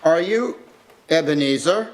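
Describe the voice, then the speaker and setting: A man plays a gentle old gentleman on stage in a radio play. The voice is notably high for a male, with polite, tentative and formal phrasing. The character is humble and grateful. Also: animated, unhurried. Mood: wistful. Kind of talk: live radio play acting. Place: live community theatre stage.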